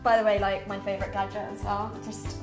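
A woman's voice: mid-range voice, flat delivery, fast talker. A young British woman in her twenties, chatty and upbeat, explaining a recipe step by step as she cooks in a home kitchen. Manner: upbeat, chatty, talks while cooking. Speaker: cookbook author and guest cook. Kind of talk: cooking demonstration chat. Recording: roomy kitchen with music behind.

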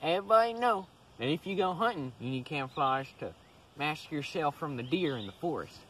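A man's voice: fairly high pitch, expressive, fairly quick, a bit bright. A young man hosting a casual, folksy instructional video, explaining things informally to the camera. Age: young adult. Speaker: host. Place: outdoors in woodland. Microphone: handheld camera a few metres away. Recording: outdoor ambient noise, camera mic.

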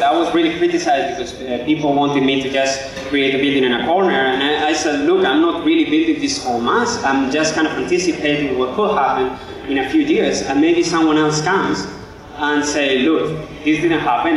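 A man's voice: fairly high pitch, steady tone, brisk, slight background noise. Male guest lecturer, thirties, Spanish accent, hesitant phrasing, heard somewhat distantly through a heavily echoing stage PA. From Spain. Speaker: guest lecturer, architect and educator. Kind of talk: informal public lecture. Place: open-sided stage before an audience. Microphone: handheld microphone through a PA. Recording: very echoey, distant from the microphone.

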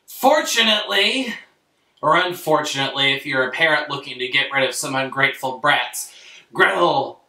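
Pompous young man with a bright, forceful voice. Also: mid-range voice, expressive, moderate pace, far from the mic. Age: young adult. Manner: pompous, theatrical, crass. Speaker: storyteller playing a crass father. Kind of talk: comic bedtime-story narration. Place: small bedroom, indoors. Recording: echoey room, off-mic.

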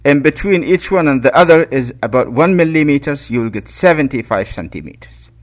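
A man giving an informal hands-on tutorial, explaining step by step in a halting way and repeating details. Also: fairly high pitch, lively intonation. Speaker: electronics hobbyist and presenter.

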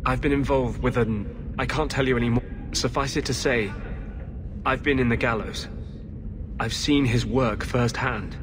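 A man's voice: mid-range voice, steady tone, moderate pace, background noise, lightly acted. Man as an earnest, bitter rebel mage. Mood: earnest, urgent. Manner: earnest, intense.

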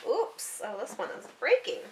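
A woman's voice: a little high, huge pitch swings, moderate pace, noisy background. A woman in her thirties chatting casually with a small child, encouraging and often repeating the child's words back. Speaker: book vlogger and mother hosting with her young child. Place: small book-lined room indoors.

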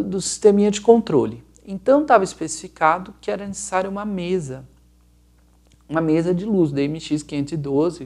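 A man's voice: on the high side, gravelly, darkish tone. A middle-aged Brazilian man giving an informal explanatory presentation, rambling casually and searching for words aloud. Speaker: lighting designer presenting a project video.

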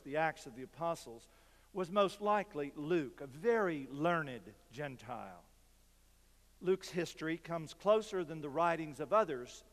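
An older man, a pastor, preaching a sermon from a pulpit with slow, measured delivery and dramatic pauses. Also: a little high, a bit bright. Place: large church sanctuary, at a pulpit. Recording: long reverb from church hall.

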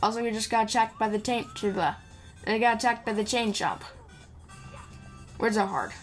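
A woman's voice: on the high side, normal speed. A woman giving casual, improvised gameplay commentary in a playful, chatty way. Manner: chatty, playful, mumbles asides to the game character. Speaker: gamer hosting a playthrough. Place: indoor voice-over recorded over game audio. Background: video game music.

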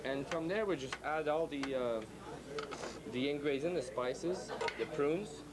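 A man's voice: fairly high pitch, unhurried, over a noisy crowd. A man in his thirties, a guest chef casually explaining steps in a cooking demonstration. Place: restaurant bar room, indoors.

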